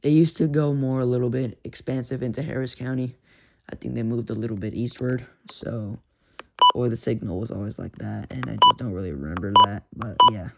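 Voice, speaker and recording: A man's voice: medium pitch, fairly even intonation, brisk, creaky. A young man with a Texan accent rambling casually about radio hobby gear, swearing, full of fillers and restarts. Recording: lo-fi with background hiss.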